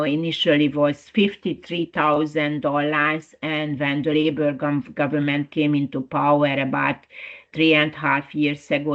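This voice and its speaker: Slow-spoken Hungarian-accented woman presenting. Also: low voice, dull audio. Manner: unhurried, with frequent fillers and restarts. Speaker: principal lawyer presenting a webinar. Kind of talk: webinar presentation.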